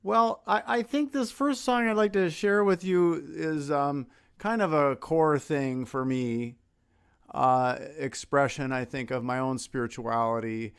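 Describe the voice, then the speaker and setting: A man's voice: fairly high pitch, unhurried, smooth. An American man speaking informally and reflectively as a guest musician, with hesitant, grateful phrasing. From Minnesota, United States. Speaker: guest singer-songwriter. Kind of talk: informal, personal talk between songs.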